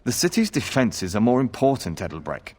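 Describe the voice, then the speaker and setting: A man's voice: mid-range voice, big pitch swings, fairly quick, a little mellow. An actor playing a dismissive, scornful lord in a fantasy game, with long reverb on his voice. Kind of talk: scripted fantasy game dialogue.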